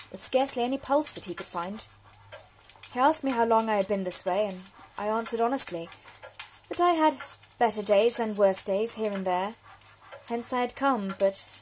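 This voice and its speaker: A woman's voice: on the high side, darkish tone, a little hiss or hum, lightly acted, rough recording. A woman playing a genteel, frank young Victorian letter writer in an audio drama monologue, speaking with formal, precise diction. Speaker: actor reading a solo audio drama. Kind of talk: period monologue, letters read aloud.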